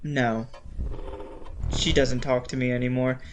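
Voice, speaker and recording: An actor playing an uneasy, guarded husband in audio drama dialogue, speaking hesitantly. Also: male voice, medium pitch, steady tone, unhurried, noisy background, close to an everyday voice. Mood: troubled. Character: uneasy husband confiding in his pastor.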